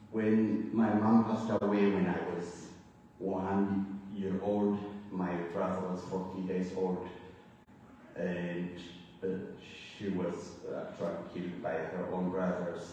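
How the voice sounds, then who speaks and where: A man's voice: deep voice, flat delivery, slow, warm tone, dull audio, slight background noise. A man in his forties from Ethiopia, with an Ethiopian accent, preaching a sermon and sharing his testimony with earnest, lightly humorous storytelling. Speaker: guest preacher. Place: church sanctuary. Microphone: church microphone, heard from afar. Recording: echoey hall from far off.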